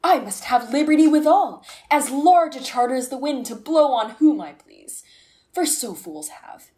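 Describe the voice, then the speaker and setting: A woman's voice: high voice, expressive, moderate pace, dark tone. A woman playing a cynical, melancholy philosopher lord in a Shakespearean radio play, with theatrical verse delivery. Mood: eager, mocking.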